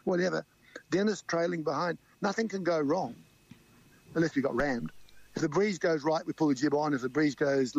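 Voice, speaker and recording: A loud-voiced man recounts a tense race with lively energy, quoting his crewmates as he goes. The sound is dry and slightly dull, with no room around it. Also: fairly high pitch, gravelly, breathy edge, no echo. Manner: animated, vivid storytelling with quoted crew talk. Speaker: sailing crew member guest. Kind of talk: storytelling interview.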